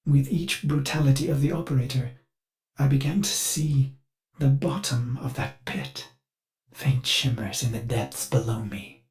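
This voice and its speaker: A male actor as a devoted shipboard AI from a video game, speaking at a slow, deliberate pace. The delivery is polite and theatrical, with fretful servility over a brooding undercurrent. The pitch sits in a middle register. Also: steady tone, soft background music, lightly acted. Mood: brooding, confessional. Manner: formal, theatrical, measured, fretful. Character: anxious, devoted ship AI servant, fussy and polite, brooding beneath. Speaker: ship's AI assistant. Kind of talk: scripted video game dialogue.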